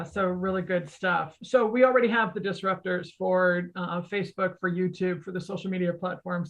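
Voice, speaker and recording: Woman, gravelly creak, conversational expert explainer, didactic, muffled lo-fi recording. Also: medium pitch. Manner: didactic, often checks in with "right?". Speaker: expert guest explaining technology. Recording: dull, lo-fi audio.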